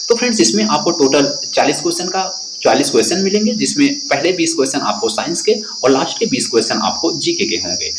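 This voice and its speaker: A man teaching an exam quiz lesson, speaking directly to students and repeating questions and answers. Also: a little high. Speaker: exam-prep instructor. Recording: echoey room, loud insect-like background noise.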